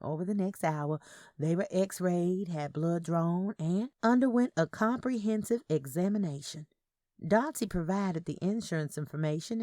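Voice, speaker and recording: A woman's voice: on the low side, mellow, no room sound, studio quality. A woman reading scripted fiction narration. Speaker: audiobook narrator.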